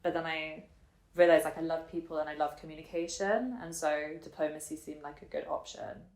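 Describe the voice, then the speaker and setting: A woman in her twenties telling her personal story casually and reflectively, thinking aloud in halting, informal phrases. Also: low voice, steady tone, darkish tone. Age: 20s. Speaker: interviewee telling her family and life story. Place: indoor room in Germany. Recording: roomy echo on the voice.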